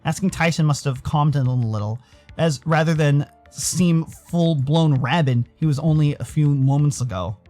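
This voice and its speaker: An American man from Arizona giving theatrical, expressive narration of a visual novel as a storyteller. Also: a little high, moderate pace, soft background music. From Arizona, United States. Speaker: narrator and streamer.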